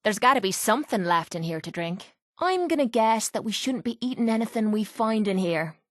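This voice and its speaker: A woman's voice: mid-range voice, lively intonation, fairly quick, dry recording, clean studio sound, lightly acted. A woman playing a brash, foul-mouthed young Irish brawler in a video game, blunt and sardonic but vulnerable underneath, with an Irish accent. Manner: blunt, gruff, sardonic, informal. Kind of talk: video game companion voice lines.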